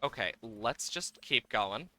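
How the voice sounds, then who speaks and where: A man's voice: mid-range voice, animated, takes it slowly, sharp tone, no echo. A man giving casual livestream game commentary, playfully exasperated, swearing and exclaiming at the game as he plays.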